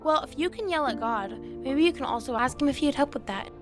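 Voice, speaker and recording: An actress playing an earnest, gentle girl who talks kindly to her friend. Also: high voice, expressive, brisk, over music.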